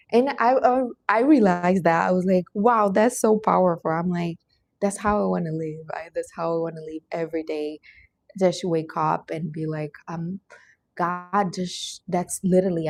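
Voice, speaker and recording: A woman's voice: lowish voice, darkish tone, no echo. A woman with a Portuguese accent, Portuguese her first language, giving an earnest, emphatic motivational talk while hosting a livestream. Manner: earnest, emphatic, non-native grammar. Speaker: livestream host.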